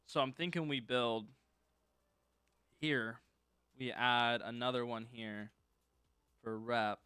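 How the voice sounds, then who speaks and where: A man's voice: medium pitch, fairly even intonation, slow pace, bright, forceful tone, smooth, dry recording. A man casually teaching scripting on a live stream, thinking aloud as he works and chatting with viewers. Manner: thinks aloud while working, loose and informal, reads chat and answers.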